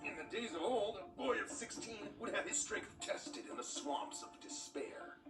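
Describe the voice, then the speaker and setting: Brash elf brother, grandiose cartoon delivery, wide swings, distant sound, music behind. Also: male voice, high voice, big pitch swings, moderate pace. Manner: theatrical, grandiose. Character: brash teenage elf big brother, obsessed with magic. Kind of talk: animated film character acting. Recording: roomy, distant film audio with music.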